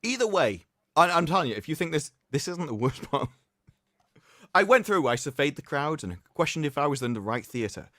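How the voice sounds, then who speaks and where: A man hosting a livestream, reading aloud in a mocking, theatrical way with sarcastic asides and drawn-out emphasis. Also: on the high side, big pitch swings, fairly bright tone, dry recording, clean studio sound. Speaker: livestream host. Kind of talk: mocking dramatic reading of an article with commentary.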